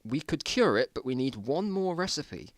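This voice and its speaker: A man's voice: mid-range voice, big pitch swings, normal speed, no room sound, pitched above the performer's own voice, natural voice. A man voicing a timid male scientist survivor in an amateur story reading, earnest and pleading.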